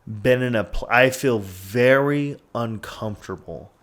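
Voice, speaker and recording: A man's voice: mid-range voice, measured pace, a bit bright. A man in his twenties hosting a podcast, talking candidly and rambling with lots of "like" and frequent swearing. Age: 20s. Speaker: podcast host. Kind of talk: candid casual podcast talk.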